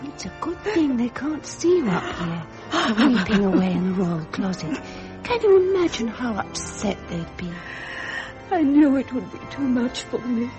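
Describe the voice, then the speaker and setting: A woman's voice: on the high side, big pitch swings, moderate pace, music in the background, rough recording. An actress playing a tender, emotional lady of royal circles in a period radio drama, with a British accent and a plaintive manner. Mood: tearful, tender.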